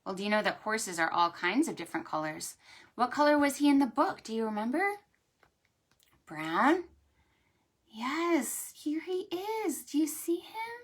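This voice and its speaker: A woman hosting a preschool storytime, reading aloud and chatting to young children in an expressive, sing-song way. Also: a little high, unhurried. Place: at home or indoors, livestreamed.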